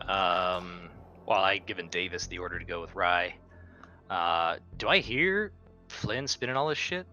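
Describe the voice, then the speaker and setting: Bright, lowish-voiced man, blunt and sweary. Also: expressive, a little slow, muffled recording, faint music behind. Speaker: tabletop roleplaying player. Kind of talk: casual improvised in-character game chat.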